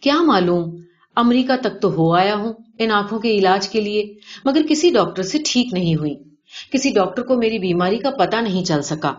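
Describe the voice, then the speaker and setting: Female reader as smug, callous middle-aged businessman, matter-of-fact bragging in a storybook, mid pitch, dull recording. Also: lively intonation, close to an everyday voice. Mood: plaintive. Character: boastful, self-satisfied middle-aged businessman with a callous streak. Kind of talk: character dialogue in audiobook reading. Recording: dull, lo-fi sound.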